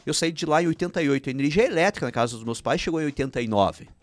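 A man's voice: fairly high pitch, animated, rapid-fire, no echo. Chatty man with Ukrainian roots. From Paraná, Brazil. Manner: rambling, frequent restarts and "né?" tags. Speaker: filmmaker guest. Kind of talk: casual interview chat.

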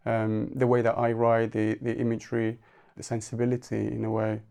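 A man from Argentina, a poet guest on a podcast, speaking softly and hesitantly with many restarts. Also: medium pitch, monotone, brisk, gravelly. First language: Spanish. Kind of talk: podcast interview.

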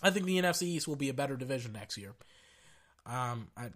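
A young man hosting a casual sports podcast, brash and excitable, talking through a sore throat as he loses his voice. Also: medium pitch, fast talker. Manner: brash and excitable, hypes with "ladies and gentlemen".

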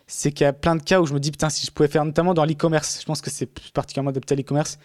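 A man's voice: fairly high pitch, flat delivery, rapid-fire, a little mellow, no echo, clean studio sound. A man talking casually in a podcast conversation, rambling with many fillers and restarts.

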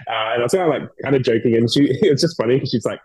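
A man's voice: medium pitch, racing, a little mellow, breathy edge, dry recording. A man in his thirties with an Australian accent, chatting easily as a guest and laughing often. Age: 30s. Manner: easygoing, laughs often, restarts his sentences. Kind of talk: casual chat.

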